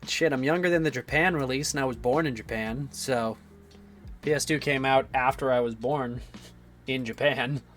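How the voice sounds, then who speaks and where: A man in his twenties with a slightly raspy voice, rambling casually and swearing as he streams his 3D modeling work and answers chat. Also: mid-range voice, moderate pace, a bit bright, faint music behind. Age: 20s.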